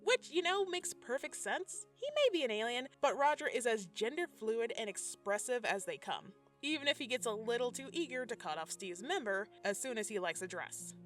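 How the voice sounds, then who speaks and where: A woman's voice: fairly high pitch, expressive, normal speed, few pauses. A woman narrating a scripted video commentary in a sassy, conversational way, with slangy asides. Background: background music.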